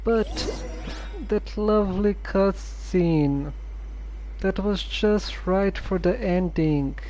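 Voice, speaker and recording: Streamer, casual musing commentary, very slow and mellow, faint hum behind. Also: medium pitch, low-quality recording.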